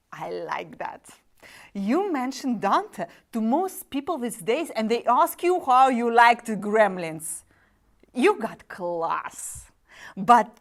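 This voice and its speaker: A high, very animated voice for a wisecracking demon who closes deals on souls , acted by a woman. The delivery takes its time, full of breezy salesmanship and theatrical mockery, as in a staged drama reading. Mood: slick, mocking. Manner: breezy show-business sales patter, mocking and theatrical. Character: smooth-talking, wisecracking demon sub-agent dealing in damned souls.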